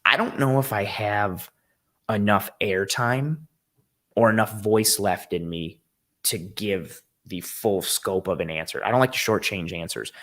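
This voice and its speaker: A man with a low, hoarse, raspy voice hosts a live sports stream. His voice is worn out, and he talks in an eager, rambling way, full of ums and restarts as he reads out viewer comments. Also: a little slow, fairly bright tone. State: hoarse, voice worn from a game the night before. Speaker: host of a football show. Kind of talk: casual live-stream sports analysis, reading chat comments.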